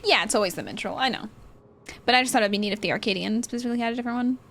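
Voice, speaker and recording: A woman's voice: on the high side, very fast, fairly bright tone. A woman giving casual game commentary as a streamer, chatty and playful, often repeating words. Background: game music.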